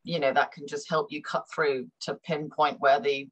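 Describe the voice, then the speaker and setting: A woman's voice: low voice, flat delivery, moderate pace, a bit bright, slightly rough, dull audio. A middle-aged woman who grew up in Hong Kong, a business consultant talking thoughtfully as an interview guest, with many fillers and repeated words. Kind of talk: conversational interview.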